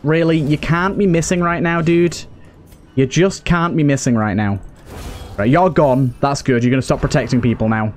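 A man's voice: a little high, moderate pace, slight background noise. A man giving casual let's play commentary, pleading with and exclaiming at the game characters as he talks. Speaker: gaming video host and player.

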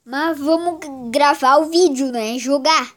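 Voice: sits high, measured pace. A child hosting a casual mobile game commentary, sounding congested with a cold. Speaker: gaming channel host.

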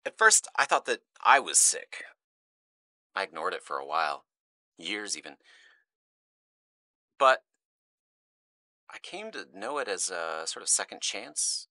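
A despairing zealot, played by an actor in a horror audio drama, speaking slowly and swinging widely from hushed brooding to explosive menace. The voice is bright and tense, recorded close and dry. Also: sits low, sing-song, no echo, exaggerated voice. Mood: brooding, haunted. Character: despairing, unhinged zealot named Riley, menacing and nihilistic.

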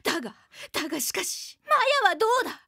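A woman's voice: very high voice, sing-song, fast, pushed and bright, no room sound, studio quality, exaggerated voice. A woman playing a cocky, eccentric, bossy teenage girl in a radio comedy drama, making blunt, grandiose declarations. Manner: blunt, grandiose declarations, third-person self-reference.

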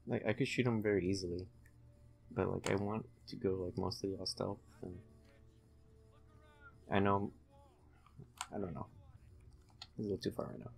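Low, creaky-voiced man gaming. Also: flat delivery, moderate pace, long pauses, darkish tone, faint music behind. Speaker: let's play host, playing the game. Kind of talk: casual gameplay commentary with a friend.

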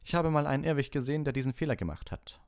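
A man's voice: a little high, animated, racing, a little mellow, pitched above the performer's own voice. A man voicing a cheerful, encouraging wizard teacher in an audiobook, instructing patiently and kindly. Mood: lively, amused. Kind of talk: animated audiobook character voice.

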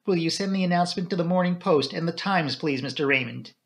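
A man voicing a proud, earnest young upper-class woman in an audiobook, speaking clearly, firmly and solemnly. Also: on the high side, fast, fairly bright tone, pitched above the performer's own voice. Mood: resolute.

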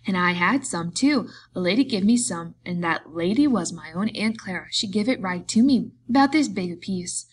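A woman's voice: fairly low pitch, lively intonation, normal speed, hardly any pauses, close to an everyday voice. A woman voicing a big, simple-minded, childlike man in audiobook dialogue, earnest and pleading, with a rustic ungrammatical drawl. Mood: delighted.

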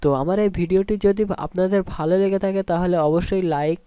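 A man walks through a phone app step by step. His voice is soft-edged and dark, recorded close and dry. He explains in an instructional way and often says a phrase over again. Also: high voice, brisk, clean tone, dry recording. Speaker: tech tutorial host. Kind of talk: spoken step-by-step app tutorial.

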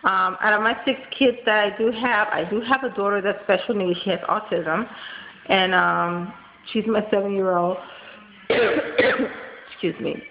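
A woman's voice: medium pitch, steady tone. Tired, nasal woman rambling on webcam. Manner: rambling, many ums and likes, talks fast. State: tired and congested from a cold. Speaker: vlogger. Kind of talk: casual webcam vlog. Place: small indoor room at home. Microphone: built-in webcam mic, close. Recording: dull lo-fi webcam audio with faint hiss.

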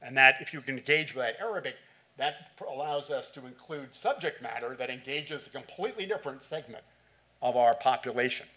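A man's voice: mid-range voice, lively intonation, a little fast, bright, forceful tone. A middle-aged man answering questions after a conference talk in a hall, speaking in short bursts with many fillers. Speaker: conference presenter. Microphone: podium mic.

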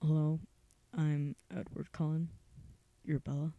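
A woman's voice: low-pitched, little pitch movement, a little slow, mellow, lo-fi sound. A woman voicing a brooding, serious young male vampire in a grave, insistent manner for an audiobook audition.

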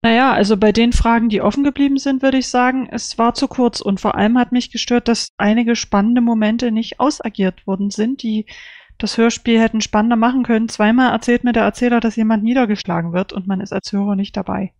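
A woman's voice: fairly high pitch, fairly even intonation, a little fast, a little mellow, dry recording. A woman giving casual, critical opinions as a panelist on a review podcast.

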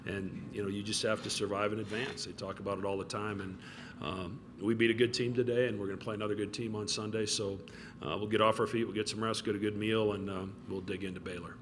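A man in his fifties, a college basketball head coach, answering press questions in a relaxed, rambling way with many fillers. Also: lowish voice, fast talker. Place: press conference room with backdrop. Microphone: table mic. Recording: noticeable room noise.

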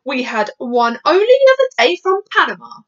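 Woman doing male army commander, storybook, indignant bluster, emphatic, very animated pitch, slightly dull recording. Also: moderate pace. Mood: urgent. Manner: emphatic, exasperated military bluster.

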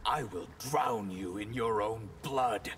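An actor playing an imperious, disdainful high mage in a video game, pompous and formal. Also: male voice, slow pace, fairly bright tone, a little hiss or hum. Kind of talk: video game fighter dialogue.

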